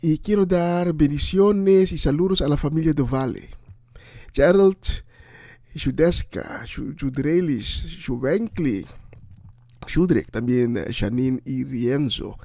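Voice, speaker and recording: Guy with doing radio preaching and shoutouts, kinda creaky voice, halting but emphatic, dry close sound. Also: a little high, no echo. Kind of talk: informal on-air preaching and greetings.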